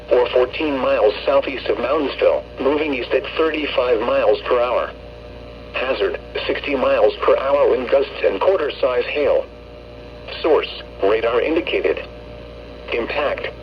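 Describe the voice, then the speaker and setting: A synthetic man's voice reads formal weather warning bulletins in a measured, impersonal way over weather radio. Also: mid-range voice. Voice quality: synthetic text-to-speech. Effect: weather radio broadcast. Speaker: automated weather radio announcer. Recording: muffled lo-fi radio with hum, noise.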